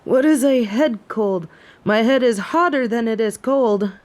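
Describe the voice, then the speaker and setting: A proud, snobby fallen angel of judgment, acted by a woman, suffering through a head cold. The voice is fairly high and very measured, with haughty, old-fashioned formal wording suited to a dramatic audio roleplay. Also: darkish tone, a little hiss or hum, natural voice. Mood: puzzled, weary. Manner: haughty, formal, archaic phrasing. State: sick with a head cold, sore throat.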